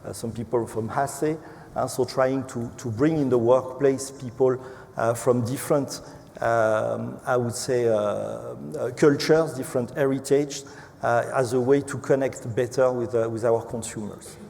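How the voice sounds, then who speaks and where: A man from France with a French accent speaking as an executive on a panel, halting and hesitant, often repeating words. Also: mid-range voice, steady tone, darkish tone. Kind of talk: unscripted panel remarks. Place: large event hall. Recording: echoey hall with clear background noise.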